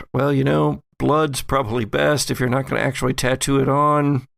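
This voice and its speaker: A hesitant, musing mystic played by a man in a tabletop audio drama. The voice is slightly gravelly and dry, and the speech halts as thoughts form. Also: medium pitch, flat delivery, fairly bright tone, dry recording. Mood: musing, unsure. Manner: halting, thinking aloud.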